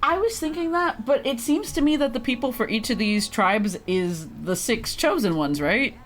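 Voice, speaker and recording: A woman's voice: fairly high pitch, animated, moderate pace, slight background noise. A woman livestreaming a video game, chatting casually and reading game text aloud in a giggly, reactive manner.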